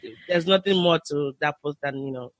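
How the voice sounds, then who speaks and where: A woman's voice: low voice, brisk, dry recording. A Nigerian woman with a Nigerian accent, a podcast guest talking eagerly in casual chat, often restarting her sentences. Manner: enthusiastic, with frequent restarts.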